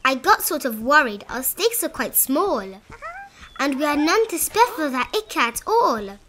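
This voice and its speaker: High-voiced woman reading slowly to children. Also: slight background noise. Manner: expressive, dramatizing the story. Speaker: read-aloud narrator of children's picture books. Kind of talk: animated children's storybook read-aloud.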